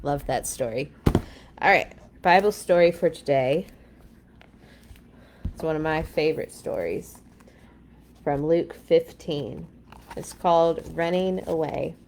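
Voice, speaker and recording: A woman's voice: deep voice, animated, takes it slowly, pauses often, darkish tone, a little hiss or hum. A woman hosting a children's read-along, reading stories expressively and dropping in casual asides.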